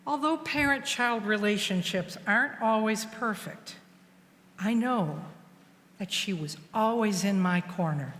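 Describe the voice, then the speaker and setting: Slow, gentle woman in a reverberant church. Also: medium pitch. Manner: slow, measured, gentle reading. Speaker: memorial service reader. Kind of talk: reading a eulogy aloud. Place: church sanctuary. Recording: long reverb of a church hall.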